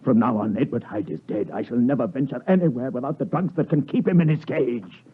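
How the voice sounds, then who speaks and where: A British man playing a tormented, guilt-ridden gentleman doctor in an impassioned, theatrical 1950s radio drama. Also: medium pitch, brisk, breathy edge. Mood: pleading. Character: tormented, guilt-ridden gentleman doctor fighting his evil other self. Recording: muffled vintage 1950s radio broadcast, music behind.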